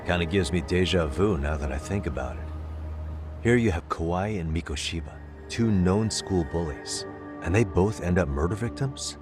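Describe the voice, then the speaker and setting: An actor playing a laid-back, thoughtful investigator in an English-dubbed crime game, casual and musing. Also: male voice, deep voice, normal speed, over music, close to an everyday voice.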